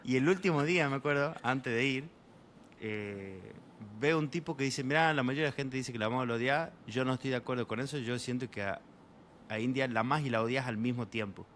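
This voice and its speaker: A young man from Argentina with an Argentine Spanish accent, an interview guest telling travel stories in a relaxed, rambling way full of fillers. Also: mid-range voice, fairly quick, a little hiss or hum. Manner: rambling, many fillers, voices quoted people. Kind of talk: casual storytelling in an interview.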